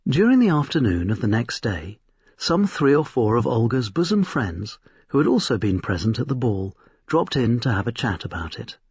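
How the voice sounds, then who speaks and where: A man reading a short story aloud in an expressive, scripted storytelling style. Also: mid-range voice, normal speed, husky. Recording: roomy, slightly dull recording.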